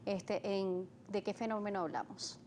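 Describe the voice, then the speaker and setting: A woman hosting a health interview, introducing her guest and asking clear, orderly questions. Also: medium pitch, steady tone, normal speed, a little mellow, a little hiss or hum. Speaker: host.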